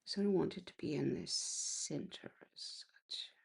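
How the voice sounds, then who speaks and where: Casting: unhurried crafter woman, gravelly and husky, croaky today. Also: low voice, whispered. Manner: chatty, clears throat often. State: slightly croaky voice. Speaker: card-making crafter. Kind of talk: casual craft tutorial.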